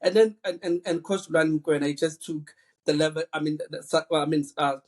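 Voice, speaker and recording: A man's voice: fairly high pitch, steady tone, a little fast. A man, talking football in a casual podcast chat, earnest and often repeating words as he thinks. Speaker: podcast co-host.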